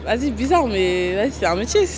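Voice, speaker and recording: A woman's voice: mid-range voice, big pitch swings, fairly quick, crowd noise. A woman answering a street interview outdoors, easygoing and thoughtful. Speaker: passerby interviewee. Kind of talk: casual street chat. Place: outdoor city square. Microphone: host's handheld mic.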